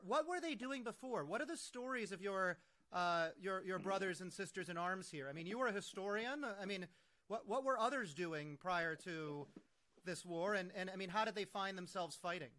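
Eager high-voiced American man interviewing. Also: lively intonation, moderate pace, pushed and bright. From United States. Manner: eager, emphatic, self-interrupting. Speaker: interviewer, host. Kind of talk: conversational interview.

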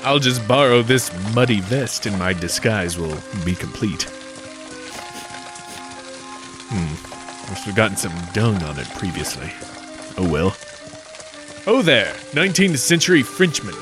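A man's voice: on the low side, expressive, normal speed, over music, natural voice. A man playing a wily, folksy adventurer who narrates his own schemes with old-time slang in a comedic 1940s-style radio play. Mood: scheming.

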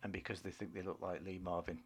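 Husky low-voiced man, hesitant podcast chat. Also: flat delivery, fast. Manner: rambling and hesitant, searching for words. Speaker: podcast co-host. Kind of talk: casual film trailer review chat.

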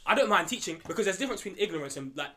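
A man's voice: sits high, expressive, very fast, crowd noise. A young man talking earnestly in a casual podcast discussion, often repeating his words as he goes. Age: young adult. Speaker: podcast panelist.

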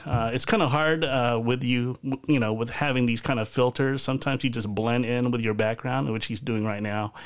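A man's voice: medium pitch, fairly even intonation, normal speed, hardly any pauses. Chatty man hosting a podcast. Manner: chatty, rambling with many fillers and restarts. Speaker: podcast host. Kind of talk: casual chat on a live video podcast. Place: bedroom at home. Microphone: video call.

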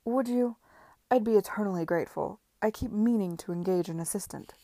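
Brief: female reader doing a frazzled, excited man, loud and hurried, mellow tone. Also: mid-range voice, dry recording, close to an everyday voice. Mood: eager. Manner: loud, eager, rushed. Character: flustered excitable gentleman builder, scattered but eager.

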